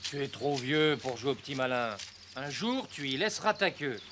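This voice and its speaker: A man voicing a grumbling but caring old mechanic in a French animated film, fussing as he talks. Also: medium pitch, moderate pace, close to an everyday voice. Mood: scolding, baffled. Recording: clear background noise with animal sounds.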